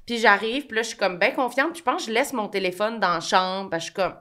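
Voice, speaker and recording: Host: young Quebec woman, lively, self-mocking storyteller. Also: mid-range voice, fast talker, slight background noise. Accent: Quebec French. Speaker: podcast host. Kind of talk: casual podcast chat.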